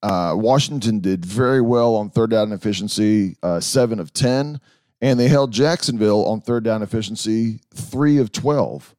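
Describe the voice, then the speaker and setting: A man hosting a casual sports podcast, a bit hoarse, talking in a rambling, halting way. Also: lowish voice, mellow, dry recording. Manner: rambling, halting, with many restarts and fillers. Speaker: podcast host.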